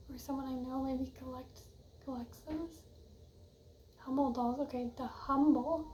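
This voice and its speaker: Young woman card reader, soft and high-pitched, musing, trailing off, faint hiss behind. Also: low-quality recording. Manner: dreamy, musing, often trails off. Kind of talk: casual oracle card reading.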